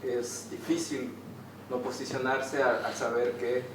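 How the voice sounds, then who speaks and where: A man from the audience speaks off the cuff, feeling his way through a long question. His voice is distant from the mic, swimming in heavy echo, with clear noise behind it. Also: medium pitch, little pitch movement, a little slow. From Ecuador. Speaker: audience member asking a question. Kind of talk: spontaneous question at a public talk. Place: indoor theater venue during a public discussion.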